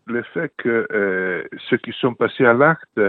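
An older man with an Italian accent, a political journalist answering radio interview questions earnestly, pausing often with fillers. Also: medium pitch, expressive, dry recording. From Italy. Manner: earnest, hesitant with many fillers. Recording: tinny dry phone line.

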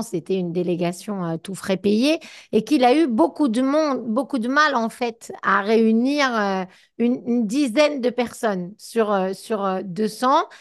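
A woman with a fairly high voice conducts a broadcast interview. She builds long questions in a conversational way, pausing and rephrasing as she goes.

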